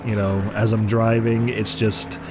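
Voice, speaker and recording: A man with a hoarse, congested voice from the flu, giving casual play-by-play over a racing video game. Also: lowish voice, a little slow. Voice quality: rough, congested voice. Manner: excited play-by-play, rising sharply at crashes. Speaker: gaming commentator. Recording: game music and car noise underneath. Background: racing game engine noise and music.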